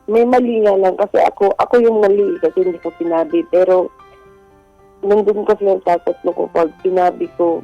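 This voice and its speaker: A woman's voice: medium pitch, fairly even intonation, a little fast, highs cut off, soft background music. A woman calling a radio show, talking casually and confessionally in an earnest, hesitant way. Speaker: radio show caller sharing a secret.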